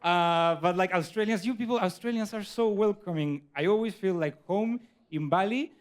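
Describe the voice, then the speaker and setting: A man's voice: high-pitched, normal speed. A man from Chile with a Chilean Spanish accent and Spanish as his first language, performing stand-up comedy. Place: comedy club stage.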